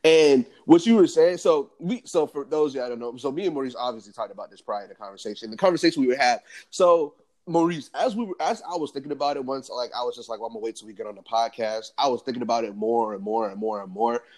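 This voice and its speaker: A man co-hosting a sports podcast, talking excitedly in casual slang. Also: mid-range voice, quick pace. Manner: excited, animated, lots of slang. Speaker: podcast co-host.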